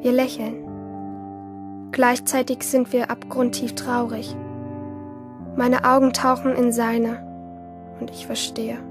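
A woman's voice: a little high, flat delivery, slow, long pauses. An actress playing an anguished teenage girl in a radio play, narrating her inner thoughts in short, intense phrases. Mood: wistful. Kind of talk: radio play interior monologue. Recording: music behind the voice.

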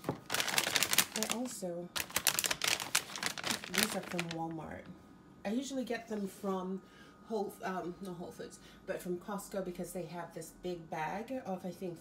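A woman's voice: mid-range voice, recorded from a distance, noisy background. A woman vlogger chatting casually about her groceries at home, rambling with ums and restarts. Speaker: fitness vlogger. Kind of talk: casual grocery haul chat. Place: home kitchen, indoors.

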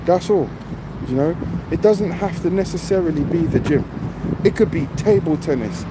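A middle-aged man speaks casually and emphatically into a handheld phone, his voice sitting a little high for a man. He circles back to the same points and keeps checking in with the listener. Loud car and street noise runs underneath him. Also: normal speed. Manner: emphatic, repeats points, many "you know what I mean". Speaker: vlogger. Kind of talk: casual selfie vlog. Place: outdoors on a street by a shop, traffic around. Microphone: phone at arm's length.

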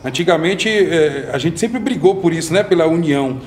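A man's voice: a little high, animated, normal speed, a little mellow, a little hiss or hum. A young man from Salvador hosting a casual panel chat, talking earnestly and tagging his points with "né". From Salvador, Bahia, Brazil. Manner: earnest, often tags lines with "né". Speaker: podcast host. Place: community center room, seated on sofa with panel.

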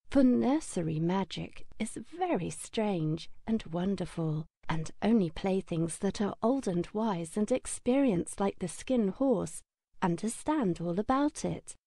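A woman's voice: medium pitch, creaky, mellow, no echo, clean studio sound. A woman reading a children's story as an audiobook narrator, in a scripted storytelling style.

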